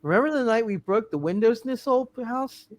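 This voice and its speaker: A man's voice: very high voice, animated, a little fast, no echo. A man voicing a sweet, devoted young small-town wife in a hammed-up radio play reading. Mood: tender.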